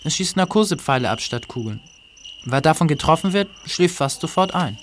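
A man's voice: on the high side, lively intonation, normal speed, noisy background, lightly acted. A male actor playing a serious, helpful boy in a German audio drama. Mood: calm, matter-of-fact. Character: Mike, helpful serious boy who lives among wild animals.

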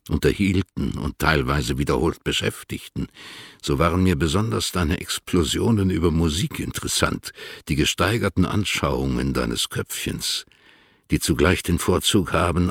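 A dignified older poet delivers his correspondence in a radio drama. His voice is very deep and husky, recorded dry and close. He is courteous, formal and stately, with measured phrasing. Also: no echo, exaggerated voice. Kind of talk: literary radio drama letter monologue.

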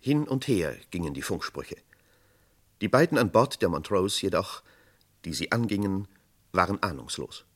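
An actor as the sober narrator of a vintage radio crime drama, delivering scripted narration. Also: male voice, low voice, animated, normal speed. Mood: grave.

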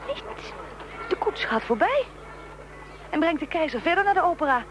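A woman's voice: mid-range voice, noisy background. A woman playing a tense eyewitness reporter in a radio drama, commenting dramatically on history as it unfolds. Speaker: reporter and interviewer.

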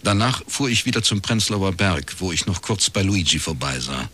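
An actor playing a wry, laconic private detective with a husky voice, in radio crime drama narration and dialogue. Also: male voice, low-pitched, moderate pace, exaggerated voice. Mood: dry, matter-of-fact. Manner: dry, deadpan, understated. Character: wry, laconic male East Berlin private detective, ex-academic.

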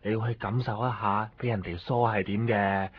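A man's voice: on the low side, fairly even intonation, a little fast, husky, dry recording. A man voicing a fussy, demanding talking pet in a Cantonese radio drama, whiny and blunt. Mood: grumbling. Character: talking male pet, fussy, needy, demanding about grooming. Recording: dull lo-fi radio recording.

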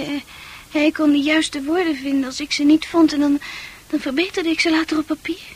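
An actress playing a dreamy, sensitive, troubled young woman in a Dutch radio play, confiding in earnest, halting, poetic speech. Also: high-pitched, a little hiss or hum, close to an everyday voice, low-quality recording. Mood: wistful, confiding.

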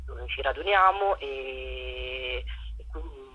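A woman's voice: extremely low pitch, slow, slight background noise. A woman giving an informal radio interview over a tinny telephone line, explaining things in a hesitant way. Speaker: theatre collective member, radio guest.

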